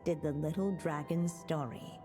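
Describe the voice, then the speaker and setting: A woman voicing a gentle, solemn mother witch in a video game storybook tale, tender and measured. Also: deep voice, natural voice. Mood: gentle storytelling. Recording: long reverb over music.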